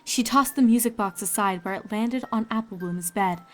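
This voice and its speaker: A woman's voice: medium pitch, normal speed, loud music behind the voice. A woman storyteller reading scripted fiction aloud, with ambient noise behind her.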